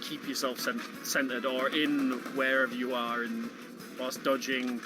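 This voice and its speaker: A man commentates live on a video game in an informal, explanatory way. His voice sits at an average pitch, with game music clearly playing behind him. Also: flat delivery, a little slow. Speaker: speedrun commentator.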